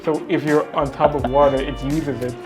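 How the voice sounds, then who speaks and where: A man's voice: fairly high pitch, fairly even intonation, moderate pace, over music. A man making his case as a panelist in a casual, chatty debate.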